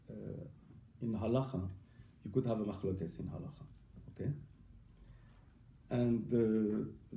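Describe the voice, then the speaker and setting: Gravelly, halting rabbi with a dark voice. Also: male voice, fairly low pitch. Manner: halting, repeats words, mixes Hebrew and Yiddish terms. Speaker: rabbi teaching family purity law. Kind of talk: informal lesson. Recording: echoey room, faint background noise.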